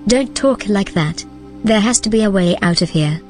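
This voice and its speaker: Female actor as calm, playful helper in audio drama, medium pitch, composed delivery, light music underneath. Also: moderate pace, lightly acted. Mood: reassuring. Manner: composed, matter-of-fact.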